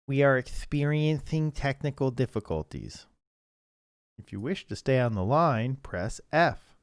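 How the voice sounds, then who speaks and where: A man hosting a casual game livestream, thinking aloud haltingly and improvising story prompts as he goes. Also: medium pitch, lively intonation, no echo, clean studio sound. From Canada. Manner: halting, thinking aloud, frequent throat clearing. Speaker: livestream host.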